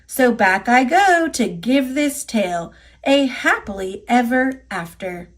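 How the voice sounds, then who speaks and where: A woman's voice: fairly high pitch, expressive, takes it slowly. An American woman voicing a cocky, playful little gingerbread man from a storybook, chanting boastful taunts in a sing-song manner.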